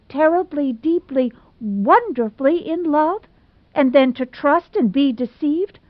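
A woman's voice: high-pitched, no echo, lo-fi sound, pitched above the performer's own voice. A woman voicing a melodramatic, self-pitying young woman who speaks in a gushing, tearful, theatrical manner.

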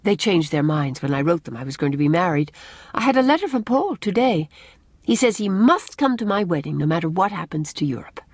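A woman voicing a cheerful, romantic young bride-to-be in an audiobook, expressive and lyrical with a teasing touch. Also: fairly low pitch, brisk, no room sound, voice pushed up. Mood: fond. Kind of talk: audiobook character dialogue.

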